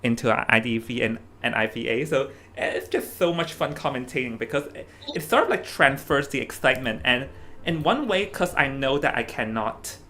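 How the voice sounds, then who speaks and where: A young man from Hong Kong with a Hong Kong accent, chatting casually as an esports commentator, animated and stumbling over restarts. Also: mid-range voice, normal speed. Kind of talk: casual stream commentary chat. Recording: faint background noise.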